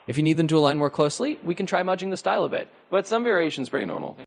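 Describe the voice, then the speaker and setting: A man's voice: fairly high pitch, animated, racing, no echo. A man's voice as an AI chatbot assistant, explaining in an easygoing, reassuring way. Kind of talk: casual explanation.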